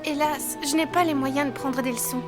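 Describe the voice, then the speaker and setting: Woman voicing a shy little cartoon girl, high voice, polite and earnest, bit of music behind. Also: fairly even intonation, moderate pace, close to an everyday voice. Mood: sad, hesitant. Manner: timid, polite, childlike. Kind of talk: French animated series dubbing.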